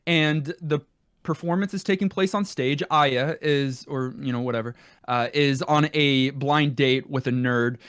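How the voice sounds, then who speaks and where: A man's voice: medium pitch, a little slow, a bit bright, clear, resonant tone, dry recording, studio quality. A man co-hosting a podcast in casual, teasing conversation about video games. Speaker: podcast co-host.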